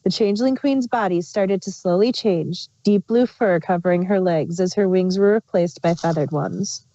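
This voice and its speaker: Woman narrator reading a story, soft mellow tone, very dry close sound, slightly dull mic. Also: on the low side, moderate pace, dry recording.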